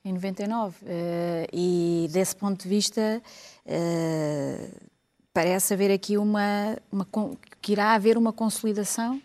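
A woman, speaking as an analyst in a televised panel debate, measured and thoughtful with hesitations. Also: mid-range voice, fairly even intonation, darkish tone. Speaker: political analyst.

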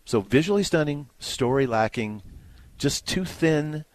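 A man's voice: on the high side, lively intonation, measured pace, dry recording, rough recording. A man giving a conversational movie review as a critic, informal and emphatic in his delivery. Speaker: film critic.

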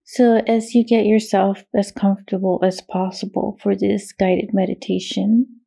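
A woman leading a guided meditation in a soothing, measured way, with long pauses and a gravelly, creaky voice. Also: medium pitch, level tone, mellow. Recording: meditation music with singing bowl behind. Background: meditation music, singing bowl tones.

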